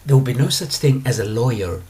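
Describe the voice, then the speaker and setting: A man talking alone as a spiritual teacher, explaining his ideas in a calm, unhurried way with drawn-out pauses. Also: mid-range voice. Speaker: spiritual teacher answering questions. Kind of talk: monologue lecture.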